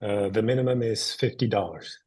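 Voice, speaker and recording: A man's voice: on the low side, fairly quick, dark tone, natural voice. A man playing a courteous bank teller in his twenties in a script read, businesslike. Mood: polite, businesslike. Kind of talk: dramatic script table read.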